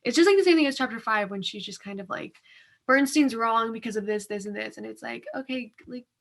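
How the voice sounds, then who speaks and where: A woman's voice: fairly high pitch, fairly quick, creaky, a bit bright. A young woman co-hosting a discussion in a casual, colloquial and loosely phrased way. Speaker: co-host of a reading discussion. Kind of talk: casual chat.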